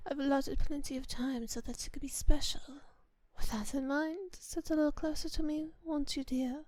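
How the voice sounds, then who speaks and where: Woman playing a soft, high-voiced genteel vampire mistress. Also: fairly even intonation, no echo, lightly acted. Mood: gently commanding. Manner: soft, breathy, often whispered, unhurried endearments; refined, formal diction. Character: kind, genteel vampire mistress, doting and quietly commanding. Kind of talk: intimate ASMR roleplay addressed to the listener. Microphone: close microphone in a dry space.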